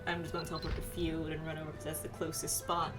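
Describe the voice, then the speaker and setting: A woman streaming a game with casual, animated, playful commentary among friends. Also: sits low, fast, over music. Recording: faint background noise.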